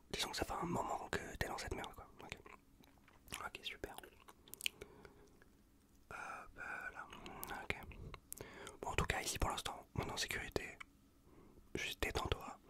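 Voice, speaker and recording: Whispering Frenchman, breathy and close. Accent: French from France. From Bordeaux region, France. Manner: casual, slangy, reassuring. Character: caring male zombie-apocalypse survivor tending a wounded stranger. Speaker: ASMR roleplay performer. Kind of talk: whispered ASMR roleplay. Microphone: close Blue Yeti microphone.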